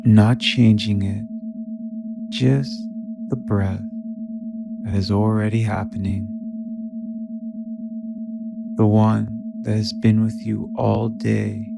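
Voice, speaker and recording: A man leading a guided meditation in a soft, soothing manner, leaving long pauses between short phrases. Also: lowish voice, little pitch movement, gravelly, loud music behind the voice.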